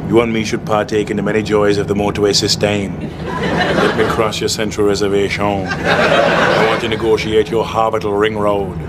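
A man plays a lecherous churchgoer, all pompous innuendo and grand words. His voice sits a bit low. Loud background noise runs under him. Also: completely flat delivery, moderate pace, close to an everyday voice. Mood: leering. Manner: pompous innuendo-laden flirting. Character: lecherous smooth-talking male churchgoer.

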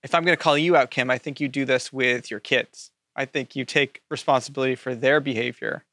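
A man's voice: mid-range voice, steady tone, fairly quick, pushed and bright, no room sound. A man co-hosting a podcast in casual, conversational chat. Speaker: co-host.

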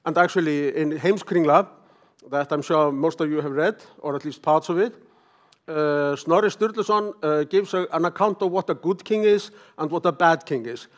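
An older man with an Icelandic accent giving a conference lecture, halting, often clearing his throat. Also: on the high side. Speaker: professor giving a talk. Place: conference hall stage, at a lectern.

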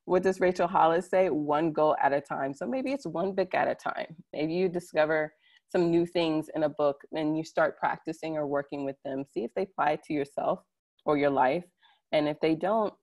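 Low-pitched woman presenting informally. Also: vocal fry, a little mellow. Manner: conversational, directly addressing listeners. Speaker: conference presenter. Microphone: computer mic on a video call.

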